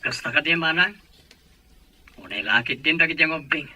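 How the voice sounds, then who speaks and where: A man playing concerned male relative, very bright forceful voice, high pitch, audio drama. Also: expressive, moderate pace, heavily acted. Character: concerned male relative calling about the children. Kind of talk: Ilocano radio drama acting.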